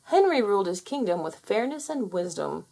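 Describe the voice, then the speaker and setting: A woman's voice: medium pitch, sing-song, moderate pace, creaky, darkish tone. A woman narrating a children's storybook aloud, inviting young listeners to guess what happens. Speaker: storybook reader.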